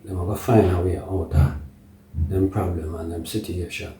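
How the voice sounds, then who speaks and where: A man with a Jamaican accent giving an unscripted political monologue in patois-tinged phrasing, deliberate and halting, often checking that the listener follows. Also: sits low, fairly even intonation, mellow, a little hiss or hum. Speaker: political commentator. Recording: echoey room, distant microphone.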